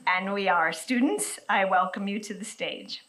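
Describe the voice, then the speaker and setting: A woman hosting a formal ceremony, giving measured, welcoming remarks to the audience. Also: mid-range voice, a bit bright. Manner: measured, welcoming delivery with read-out quotes. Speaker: ceremony host.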